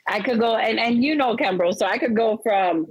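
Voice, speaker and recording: Husky woman, playful banter. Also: a little high, a little fast, no room sound, highs cut off. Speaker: podcast guest. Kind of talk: casual chat.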